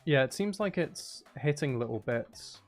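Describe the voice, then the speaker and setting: A man's voice: on the high side, lively intonation, measured pace, faint music behind. A man giving casual livestream commentary on a video game, talking to himself with mutters and exclamations. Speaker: game streamer.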